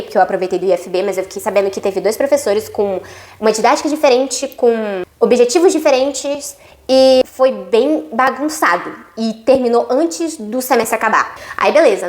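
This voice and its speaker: A young woman with a Brazilian accent, a university student chatting to her viewers in a casual explanatory vlog, with lively asides. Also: sits high. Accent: Brazilian Portuguese. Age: young adult.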